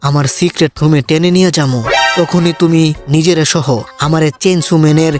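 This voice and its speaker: Guy doing old anime villain, highish voice thru voice changer, boastful n teasing, music playing. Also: little pitch movement, a little fast. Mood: surprised, scornful. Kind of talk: comic amateur anime parody dub.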